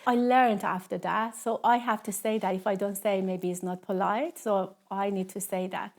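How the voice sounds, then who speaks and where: A woman from Iran with an Iranian accent, a native Persian speaker, answering interview questions in halting, conversational English. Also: medium pitch, steady tone. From Tehran, Iran. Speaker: interview guest, cultural trainer.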